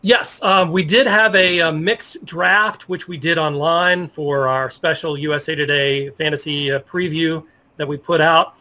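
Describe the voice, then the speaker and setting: Higher-pitched, bright-voiced man in conversation. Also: fairly even intonation, measured pace. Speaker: interview guest, sports journalist. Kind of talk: casual interview conversation about fantasy sports.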